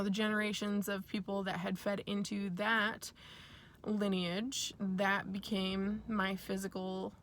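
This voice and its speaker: A woman from Nigeria with American parents gives a reflective, personal spiritual talk in a deliberate way, pausing mid-phrase. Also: mid-range voice. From born and raised in Nigeria to American parents. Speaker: speaker sharing personal origin story.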